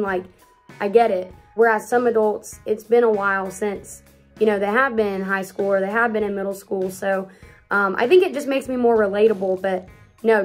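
A woman in her early twenties, a youth pastor, chatting upbeat to camera in a casual Q&A vlog from a small room at home. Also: mid-range voice. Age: early 20s. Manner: chatty and upbeat, talks with lots of hand gestures.

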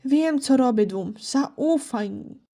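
A woman acts as a little male wolf in an audio drama. The voice is high yet soft-edged and takes its time. The delivery is curt and guarded, with a dismissive, snide streak. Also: lively intonation, takes it slowly, soft background music, exaggerated voice. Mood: cool, confident. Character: small young male wolf, sweet-looking but snide, guarded. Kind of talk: Polish amateur audio drama acting.

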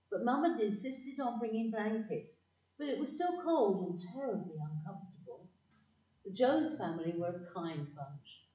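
Casting: woman as nostalgic memoir narrator, soft mellow tone, muffled slightly distant recording. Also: mid-range voice, lightly acted. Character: grown woman fondly recalling her childhood. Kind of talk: scripted narration in audio play. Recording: dull, echoey, slightly distant.

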